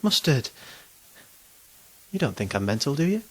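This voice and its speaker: A man's voice: medium pitch, very animated, normal speed, a little hiss or hum, lightly acted. A man with a British accent playing a nervy, baffled, flippant ordinary bloke in a comic audio drama. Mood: subdued. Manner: flippant, exasperated, muttering.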